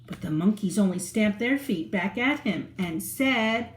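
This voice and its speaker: Slow, animated woman reading to kids. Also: medium pitch, slight background noise. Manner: animated, asks the listener questions. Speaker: children's storyteller. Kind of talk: reading a picture book aloud to children. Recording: roomy echo.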